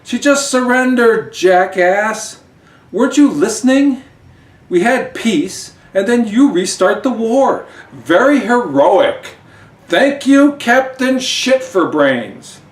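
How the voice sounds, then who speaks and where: Casting: brash, sarcastic superheroine, played by a man, loud and pushed high, roomy recording. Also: lively intonation, takes it slowly. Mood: indignant, sarcastic. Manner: loud, exclaiming. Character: brash, raunchy, sarcastic comic-book heroine. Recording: roomy, distant mic, faint noise.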